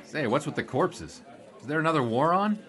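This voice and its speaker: An actor playing an eager, glib young sports reporter in a 1920s period audio drama, with a wisecracking, playfully formal manner. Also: male voice, fairly high pitch, expressive, a little fast, a bit bright, a faint crowd. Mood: breezy, wry.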